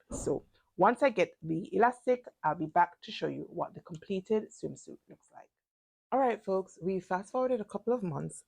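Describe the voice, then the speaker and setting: A woman's voice: on the low side, creaky, a little mellow. A woman talking casually through her sewing project in a chatty, self-deprecating vlog. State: stuffy and congested. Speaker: sewing vlogger.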